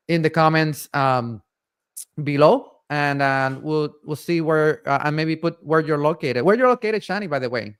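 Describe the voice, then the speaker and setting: Smooth-voiced man with halting delivery. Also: fairly high pitch. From Puerto Rico. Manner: halting, many fillers and restarts. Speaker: community host and guest presenter. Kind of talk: casual live-stream chat.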